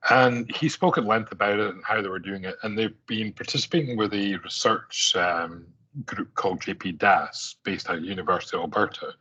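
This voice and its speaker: A middle-aged man with an Aberdeen Scottish accent gives a conference talk in a loose, conversational way. His voice is low, husky and bright-edged, and the audio is dull and lo-fi. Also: a little fast. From Scotland. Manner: conversational with frequent fillers and restarts. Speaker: conference speaker. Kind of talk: conference presentation.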